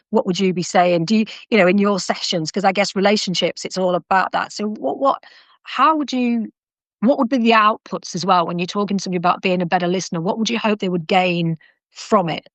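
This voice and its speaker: Middle-aged lady hosting a podcast, animated, keeps restarting, dry room. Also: mid-range voice, dry recording. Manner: chatty and animated, with frequent restarts and asides. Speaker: podcast host. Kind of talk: casual conversational interview.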